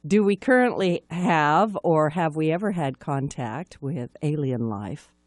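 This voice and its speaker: A woman's voice: sits low, lively intonation, a little slow, no room sound. Chatty woman radio host, dry studio sound. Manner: chatty, enthusiastic announcer. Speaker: radio talk show host. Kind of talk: live radio talk show hosting.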